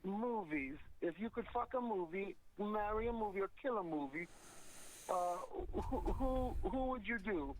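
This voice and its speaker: A man calling into a show, speaking in halting, broken English with blunt, crude questions. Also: sits high, lively intonation, slight background noise. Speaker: caller. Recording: muffled phone line.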